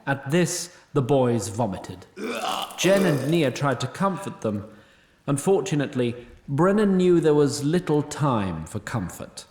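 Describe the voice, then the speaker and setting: A man narrating a scripted fantasy story in a wry, measured way. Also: medium pitch, big pitch swings, darkish tone, echoey room.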